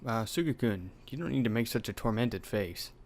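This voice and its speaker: A man's voice: mid-range voice, expressive, brisk. Young man reading a horror game aloud. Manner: reads dialogue dramatically, swears in asides. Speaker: let's play host.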